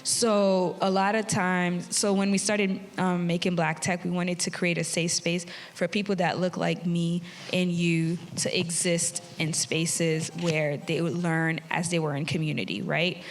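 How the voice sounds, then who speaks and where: A woman's voice: lowish voice, level tone, unhurried, hardly any pauses. A woman from Haiti in her thirties, a tech community founder speaking earnestly on a live theatre panel. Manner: earnest, friendly, with fillers and laughs. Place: theatre stage. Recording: long reverb of a theatre hall.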